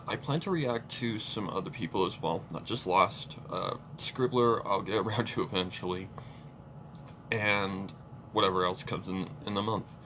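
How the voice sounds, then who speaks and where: A man's voice: on the low side, sharp tone. A man giving casual live reaction commentary as an online host, with informal muttered asides. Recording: clear background noise on the mic.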